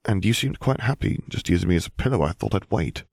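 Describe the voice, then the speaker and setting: A man voicing a gentle, affectionate young man in a soft, reassuring manner. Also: low voice, animated, fast talker, vocal fry, no room sound, studio quality, exaggerated voice.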